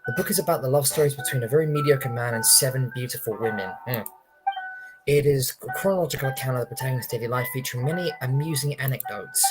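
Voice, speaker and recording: Very low-voiced woman game streamer. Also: fast talker, low-quality recording. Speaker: game livestreamer. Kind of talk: casual game commentary and reading text aloud. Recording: game music behind the voice. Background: game music.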